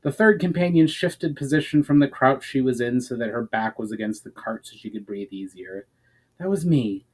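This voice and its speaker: A man's voice: mid-range voice, steady tone, brisk, hardly any pauses. A man reading his own fantasy story aloud as a dramatic narration.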